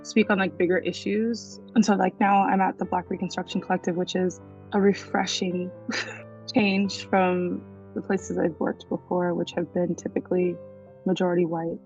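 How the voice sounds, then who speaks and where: Woman artist chatting easy, lots of "like"s, kinda dull muffled sound, soft music under. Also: on the low side, normal speed. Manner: relaxed, uses many fillers like "like". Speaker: visual artist. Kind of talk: casual interview talk.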